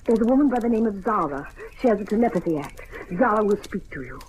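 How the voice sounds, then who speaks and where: A desperate young woman with secrets, played by an actress in an old radio mystery. She talks fast and pleads urgently, over faint recording noise. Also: medium pitch, animated, natural voice. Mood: hurried, secretive.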